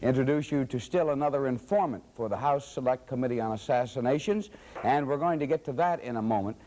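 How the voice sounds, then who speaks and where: American male news anchor, soft mellow tone, mid-range voice, presenting to camera. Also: medium pitch, fast talker, low-quality recording. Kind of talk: newscast presenting.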